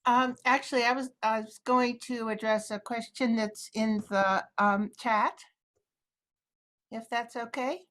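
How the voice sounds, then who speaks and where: A woman's voice: fairly high pitch, steady tone, unhurried, a bit bright. A woman on an online panel reading out an audience question, hesitant, with many fillers. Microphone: video call.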